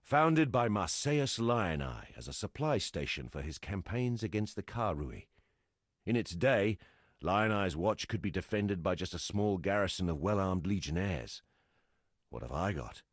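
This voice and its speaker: An actor playing a gruff, weary exile fighter in a fantasy video game, a plain-spoken, wry camp leader with a gravelly voice. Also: male voice, sits low, very animated, measured pace, no room sound, studio quality, full character voice. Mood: grim warning. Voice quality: gravelly, husky. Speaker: non-player quest character.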